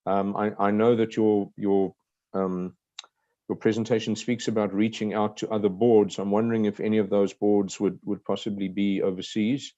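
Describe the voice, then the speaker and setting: A South African man speaks in a low, flat voice, hesitating and restarting as he asks his questions. His tone is warm and mellow. The audio is dry and dull, like a video call. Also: normal speed, no echo. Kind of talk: asking questions in an online meeting.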